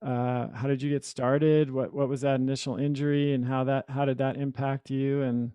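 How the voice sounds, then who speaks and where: A male podcast host with a mellow, warm tone and a measured delivery. His voice is dry and close, with no room sound. He moves from a read introduction to relaxed interview questions. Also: mid-range voice, clear, resonant tone, no room sound.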